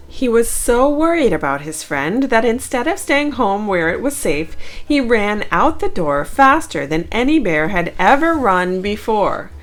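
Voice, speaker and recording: Female children's storyteller: unhurried, animated, deliberate, with dramatic pauses. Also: medium pitch. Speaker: children's book narrator. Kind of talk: read-aloud storytelling for children.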